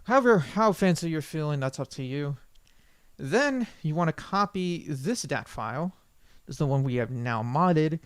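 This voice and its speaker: A man's voice: on the high side, expressive, normal speed, clean tone, no room sound. Male tutorial presenter, informal and rambling, self-correcting, unscripted walkthrough style, dry close sound. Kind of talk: unscripted software screen-recording tutorial.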